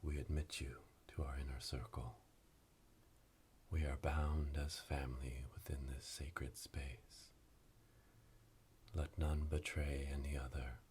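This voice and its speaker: Casting: male performer as solemn coven witch for ASMR roleplay, very deep, hushed breathy whisper, flat ceremonial delivery. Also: slow, pauses often, slight background noise. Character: solemn witch elder leading a coven initiation. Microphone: close binaural microphone.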